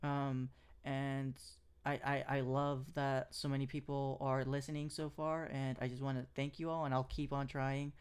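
A man's voice: medium pitch, level tone, normal speed, smooth. A man hosting his own podcast, talking casually with lots of ums and restarts as he recaps and gives his views. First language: English. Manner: casual, many fillers and restarts. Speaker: podcast host. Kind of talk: solo podcast recap and analysis.

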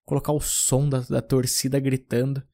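A man's voice: mid-range voice, flat delivery, normal speed, creaky, mellow. A young man from southern Brazil hosting a podcast, telling loose, rambling anecdotes in casual chat with frequent restarts. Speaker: podcast host.

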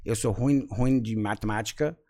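A man's voice: on the low side, moderate pace. American man chatting in Portuguese. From United States. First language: English. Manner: relaxed, frequent "sabe?" tags, laughs often. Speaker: guest. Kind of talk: casual conversation.

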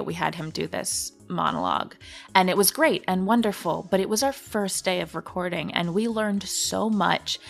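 Earnest woman with a lowish voice. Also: vocal fry. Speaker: podcast guest, audio drama creator. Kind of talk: casual interview chat.

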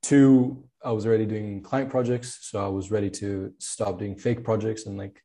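A young man from Spain, chatting casually as an interview guest. His voice sits a little low, and he rambles through restarts and fillers, laughing often as he goes. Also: flat delivery, moderate pace, mellow. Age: 20s. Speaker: podcast guest, product designer.

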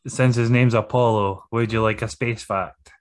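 A man's voice: fairly low pitch, steady tone, moderate pace. A man co-hosting a podcast, laid-back and dry, reading out trivia and chuckling between remarks. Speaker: podcast co-host. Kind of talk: casual chat.

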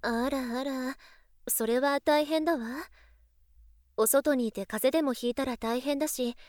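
Actress as a refined, high-voiced, doting rich lady. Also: a little slow, darkish tone, dry recording, studio quality. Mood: concerned. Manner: polite, coaxing big-sister speech. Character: refined, gentle rich young lady with sly, doting streak. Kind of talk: Japanese voice drama acting.

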